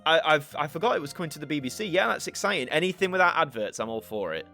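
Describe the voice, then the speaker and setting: A man's voice: on the high side, expressive, fast talker, gravelly, pushed and bright, faint music behind. A young man with a mixed northern English, mostly Yorkshire, accent, chatting casually as he answers viewer questions and thinks aloud. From Yorkshire, England. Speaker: YouTube channel host. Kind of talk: casual Q&A chat.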